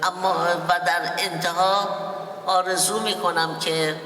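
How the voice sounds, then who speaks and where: A man giving a formal speech at a ceremony, speaking rapidly and pausing for an interpreter. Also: high-pitched, flat delivery, bright, forceful tone, slight background noise. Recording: echoey hall from far off.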